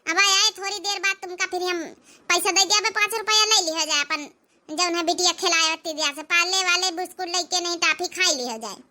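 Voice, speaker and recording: A very high, piercing cartoon village mother, acted by a woman. She chats and grumbles in a rustic Awadhi accent, with a sharp, bright edge to her talk. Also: steady tone, brisk, a little hiss or hum, exaggerated voice. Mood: coaxing, curious. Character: village mother, chatty, grumbling elder sister-in-law. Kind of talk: comedy cartoon character acting.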